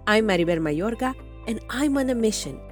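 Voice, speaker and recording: A woman's voice: on the high side, expressive, a little fast, faint music behind. A middle-aged woman hosting a faith podcast, enthusiastic and repeating words for emphasis. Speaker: podcast host. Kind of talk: warm conversational podcast hosting.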